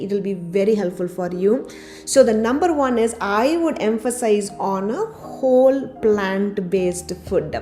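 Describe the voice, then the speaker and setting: A woman doctor giving a health talk to her channel's viewers, her voice a little raspy after a cold, steadily listing points one by one. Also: mid-range voice. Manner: steady explanatory delivery, lists items one by one. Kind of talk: informal health talk to camera. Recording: faint background music.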